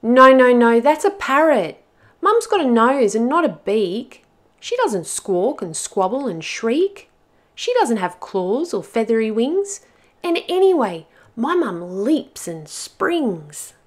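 A woman voicing a lost little monkey child in a storybook, pleading and exasperated, with emphatic, drawn-out words. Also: a little high, big pitch swings, pitched above the performer's own voice, exaggerated voice. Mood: indignant.

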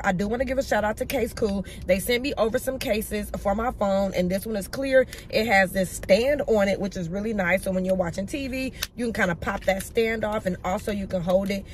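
Young woman, lifestyle vlogger, easy talkative delivery, slight background hum. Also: mid-range voice. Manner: chatty, addresses viewers as y'all. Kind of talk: casual chat to camera. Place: home, then shopping in a store.

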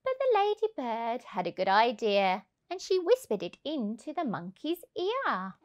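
A woman, around her thirties, reading a children's rhyming storybook aloud in an expressive, rhythmic way. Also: a little high, measured pace. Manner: animated, rhythmic, emphatic delivery. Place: indoors on a sofa. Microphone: clip-on lapel mic.

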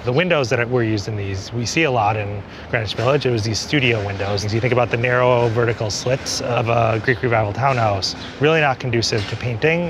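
A man in his forties, an architect, giving an on-camera walking tour outdoors, explaining buildings in a conversational way with many small hedges. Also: medium pitch. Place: outdoors on a city street. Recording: city street noise behind.

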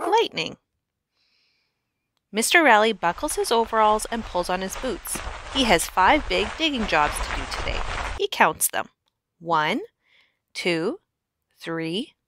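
A woman reading a children's picture book aloud in an expressive, animated storyteller's way with emphatic phrasing. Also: medium pitch, no echo. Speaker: read-aloud narrator.

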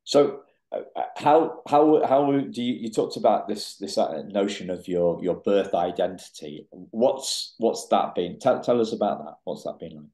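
Male podcast host; low voice, halting, lots of restarts; roomy echo on the recording. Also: lively intonation, warm tone. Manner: halting, many repeated words and restarts. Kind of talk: interview questions. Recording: echoey room.

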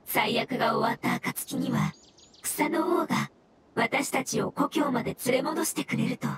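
A woman's voice: fairly high pitch, normal speed, very noisy, natural voice. A woman voicing a polite, sorrowful mushroom spirit in an anime game, formal and earnest. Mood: grateful, devout. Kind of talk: anime game dialogue acting.

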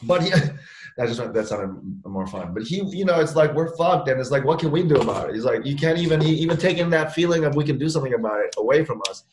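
A man's voice: fairly high pitch, lively intonation, fast talker, darkish tone. A man in his forties hosting a casual chat on a video call from his living room, joking, swearing freely and laughing often. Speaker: show host. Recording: echoey room audio.

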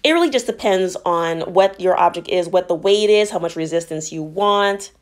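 A woman's voice: on the low side, normal speed. A woman narrating a workout as a fitness instructor, explaining each move in an encouraging, instructional way.

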